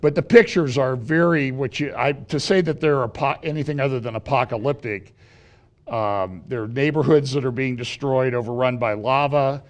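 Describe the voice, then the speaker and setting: A middle-aged man, slightly raspy from feeling unwell, giving a rambling prophecy update at church with many fillers and restarts. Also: mid-range voice, normal speed. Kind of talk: casual prophecy update talk.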